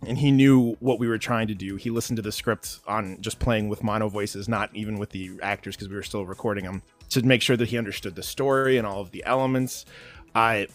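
A man's voice: fairly low pitch, fairly even intonation, a little fast, faint music behind. A man, an audio drama writer, giving detailed, earnest explanations as a podcast guest. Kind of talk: explanatory interview talk.

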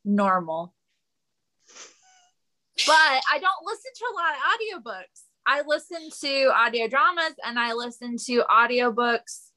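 A woman's voice: on the high side, expressive, a little slow, sharp tone, poor audio. A woman talking casually as a guest on a podcast. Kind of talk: casual chat.

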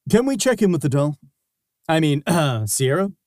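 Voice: mid-range voice, very animated, moderate pace, over music. A co-host in a comedic audio sketch, talking in a greedy, scheming way with hesitant fillers.

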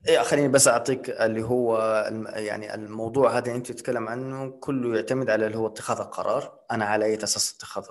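A man's voice: on the low side, steady tone, racing, hardly any pauses. A man speaking as a podcast guest, explaining ideas through rhetorical questions and English terms. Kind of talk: casual podcast conversation.

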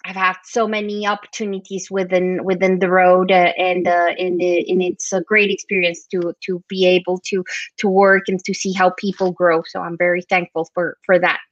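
A woman's voice: on the low side, little pitch movement, normal speed, no echo. A woman in her forties, originally from Colombia, speaks as a podcast guest, stopping often with fillers and restarting her sentences. Her voice comes through dull and lo-fi. The sound is dry, with no room around it. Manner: hesitant, many fillers and restarts. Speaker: guest, marketing leader. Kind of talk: podcast interview.

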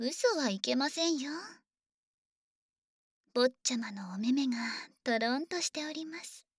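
Soft-spoken dragon maid. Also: female voice, high voice, animated, unhurried, husky, no echo, studio quality, exaggerated voice. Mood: gently firm. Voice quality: soft, often whispered. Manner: formal, doting and gently teasing. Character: devoted polite female dragon maid to a young master. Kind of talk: ASMR sleep roleplay.

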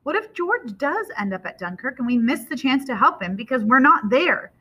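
Woman playing a teenage boy, storybook character, high and sharp, earnest, defiant, urgent. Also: big pitch swings, moderate pace, husky, lo-fi sound, full character voice. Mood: pleading, defiant. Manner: urgent, argumentative, indignant.

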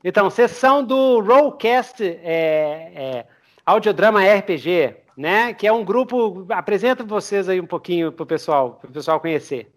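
A man's voice: high voice, expressive, a little slow. A Brazilian man hosting a casual podcast interview, speaking informally with slang and repeated phrases. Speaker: podcast host.

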